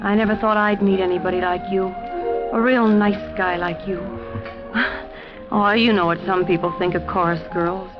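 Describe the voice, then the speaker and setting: A woman's voice: mid-range voice, fairly even intonation, moderate pace, natural voice. An actress playing a tender young chorus girl with naive, slangy talk in a 1940s radio drama. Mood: sweet, adoring. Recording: dull old 1940s radio recording, music.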